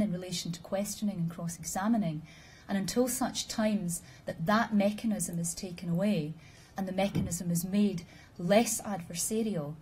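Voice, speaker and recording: A woman giving a measured, explanatory conference presentation and answering questions with dry humor. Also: mid-range voice, dark tone, husky, low-quality recording. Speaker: conference speaker. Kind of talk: formal presentation with audience questions.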